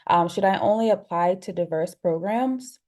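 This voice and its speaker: Mellow, upbeat woman moderator. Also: mid-range voice, normal speed. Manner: upbeat, polite. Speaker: webinar moderator. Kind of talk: moderating a panel. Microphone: video call.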